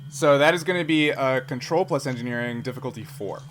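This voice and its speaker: A man's voice: medium pitch, fairly quick, fairly bright tone. A man acting as game master, casually explaining rulings to players and laughing easily. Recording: clearly noisy background.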